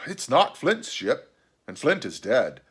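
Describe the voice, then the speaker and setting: A man's voice: medium pitch, wildly swooping pitch, slow pace, a bit bright, slightly rough, exaggerated voice. A man voicing an earnest, well-spoken boy adventurer in a storybook, curious and polite. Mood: frank, steady.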